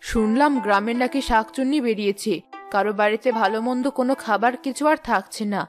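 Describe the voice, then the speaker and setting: A woman acting a practical village mother from a cartoon, quick to scold and get on with things. Her voice is fairly high, with music clearly audible behind it. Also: natural voice. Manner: practical, no-nonsense. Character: busy, brisk, mildly scolding village mother.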